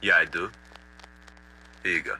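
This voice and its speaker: Man as friendly laid-back smoker, animated horror, low pitch, very bright crisp edge, faint hum. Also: a little slow, full character voice, poor audio. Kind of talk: indie animated analog horror dialogue.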